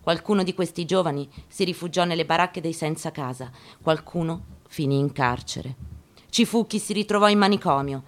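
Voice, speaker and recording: A woman giving a dramatic literary reading, solemn and declamatory, with deliberate pauses, over guitar. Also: on the low side. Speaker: actress giving a staged reading. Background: guitar music.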